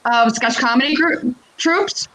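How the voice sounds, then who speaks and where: A woman from Puerto Rico and Connecticut, a comedian guest chatting casually and talkatively in an interview, with an animated manner. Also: sits high, unhurried, rough recording. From born in Puerto Rico, raised in Connecticut, United States.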